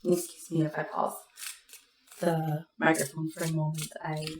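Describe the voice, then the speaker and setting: Woman, tarot reader, casual rambling delivery, slight cough, low register, roomy off-mic sound. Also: flat delivery, moderate pace, recorded from a distance, noisy background. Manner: chatty, meandering, soft-spoken asides. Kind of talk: casual spoken tarot reading. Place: home room with webcam. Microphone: new desk microphone. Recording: echoey room, voice a bit off-mic.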